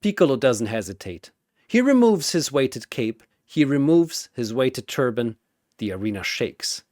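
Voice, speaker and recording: Slow, dramatic male narrator, very animated pitch. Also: dry recording, studio quality. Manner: theatrical, punchy delivery. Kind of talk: dramatic scripted battle narration.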